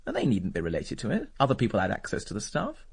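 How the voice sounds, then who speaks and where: Quick, husky, urbane Englishman. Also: on the low side, huge pitch swings, full character voice. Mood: cool, wry. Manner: urbane, dry, measured logic. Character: cool, cynical male war veteran, calmly calculating. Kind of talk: radio drama dialogue.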